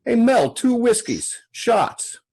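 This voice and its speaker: A man's voice: very high voice, very animated, slow, darkish tone, slightly rough, voice pushed up, heavily acted. A man reading the part of a belligerent male bar-goer, confrontational and goading, at a screenplay table read. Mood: pushy.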